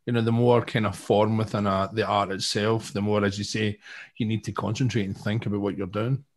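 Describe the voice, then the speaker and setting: A man's voice: lowish voice, little pitch movement, brisk. A Scottish man co-hosting an interview, joking and restarting his words as he talks. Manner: jokey, repeats words when starting. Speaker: interview co-host. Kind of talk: casual interview chat.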